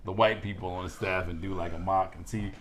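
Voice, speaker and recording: A man's voice: deep voice, fairly even intonation, fast talker, very noisy. A man co-hosting a casual podcast, joking and provocative, teasing the others playfully. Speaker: podcast co-host.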